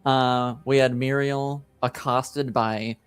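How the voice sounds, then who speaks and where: Man, game master, unhurried, smooth clear voice, wry, hesitant, casual recap. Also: medium pitch, little pitch movement, no echo, soft background music.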